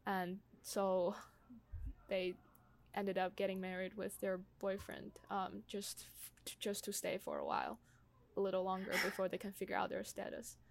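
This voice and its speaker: A young woman speaking casually and personally, pausing often and peppering her sentences with "like". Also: mid-range voice, lively intonation, vocal fry, slight background noise. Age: young adult. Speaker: conversation host sharing own experience.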